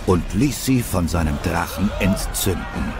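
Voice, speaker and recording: A man's voice: low voice, expressive, unhurried, over loud music. A man narrating a scripted story as the narrator of an audio drama.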